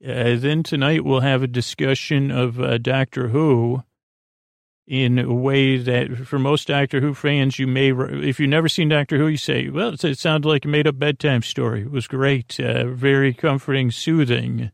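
A man with a creaky, gravelly voice speaks into a close, dry microphone. He hosts a bedtime podcast in a rambling monologue, stammering and doubling back on his phrases. His manner is gently reassuring, and his pitch sits in an ordinary middle range for a man. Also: flat delivery, no room sound. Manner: halting, meandering, stammering and circling back on phrases. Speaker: podcast host.

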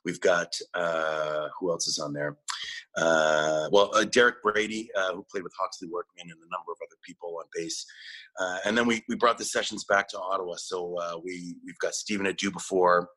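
A man with a very low voice and a bright, forceful edge talks about his music. He answers interview questions in a relaxed, conversational way, stopping often with fillers and repeating words as he goes. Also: normal speed, low-quality recording. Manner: hesitant, many fillers and restarts. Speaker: musician guest.

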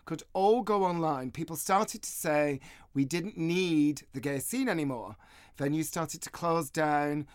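A man in his forties hosting a radio talk show, presenting in a clear, measured way with quick asides. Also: high-pitched, a bit bright, no room sound, clean studio sound. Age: 40s. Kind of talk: scripted radio monologue and interviewing.

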